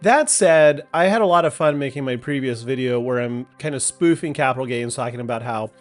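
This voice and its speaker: A man's voice: medium pitch, brisk, faint music behind. A man with a congested, stuffy voice from a head cold, opening his video in an upbeat, self-deprecating way. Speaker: video creator. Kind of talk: video intro to camera.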